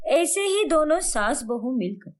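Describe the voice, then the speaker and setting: A woman's voice: high voice, big pitch swings, measured pace. A woman reading scripted story narration as a storyteller.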